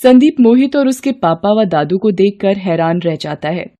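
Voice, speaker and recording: A woman's voice: medium pitch, expressive, normal speed, warm tone. A woman reading scripted narration for a moral bedtime story.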